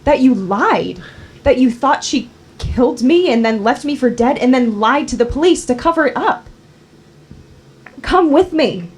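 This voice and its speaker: A wounded daughter played by a woman at a table read confronts her father in a husky, fairly high voice. She speaks in terse, unhurried lines, earnest and accusing, in a screenplay drama. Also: lively intonation, full character voice. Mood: angry, accusing. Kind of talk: dramatic script reading.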